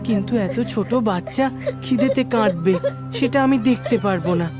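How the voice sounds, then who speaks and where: A soft, mid-pitched voice of a kind-hearted poor boy, as a woman voices him, thinking aloud and comforting gently. Clear background music runs under every line of this cartoon. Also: brisk, lightly acted. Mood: musing.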